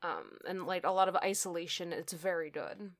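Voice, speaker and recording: A woman's voice: on the low side, moderate pace. A woman guest in a casual podcast interview who talks about her voice acting work, hesitant, with many fillers and restarts. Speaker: voice actor guest.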